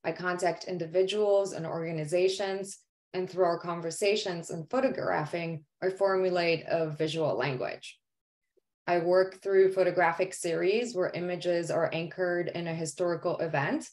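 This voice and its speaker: A woman's voice: fairly low pitch, fairly even intonation, moderate pace, darkish tone. A woman born in Poland and raised in Greece, giving an earnest artist presentation on a video call, with the odd "um" as she explains. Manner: earnest, explanatory, some hesitant fillers.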